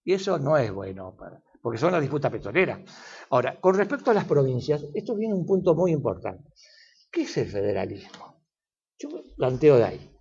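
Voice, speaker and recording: A man's voice: medium pitch, big pitch swings, a little fast, darkish tone, husky, muffled recording. An older man with an Argentine accent, explaining as an expert guest in an interview, lecturing at length with frequent fillers and restarts. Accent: Argentine Spanish.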